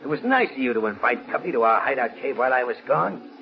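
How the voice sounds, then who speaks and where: Double-crossing tough-guy convict, creaky voice with big swings, cocky, 1940s radio crime style. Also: mid-range voice, lively intonation, quick pace. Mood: cocky, sneering. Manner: wisecracking tough-guy bluster. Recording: dull vintage 1940s radio recording.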